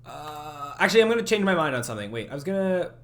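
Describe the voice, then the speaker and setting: A young man streaming his game development, chatting casually and thinking out loud as he works, often correcting himself. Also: fairly high pitch, sing-song, quick pace. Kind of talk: casual live-stream chat while working.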